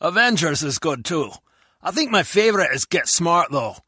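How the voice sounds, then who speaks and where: A man's voice: on the high side, sing-song, normal speed, pushed and bright, breathy edge, no echo, clean studio sound, full character voice. A man playing a chatty, easygoing henchman guard in a spy video game, speaking with conversational enthusiasm. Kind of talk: video game dialogue acting.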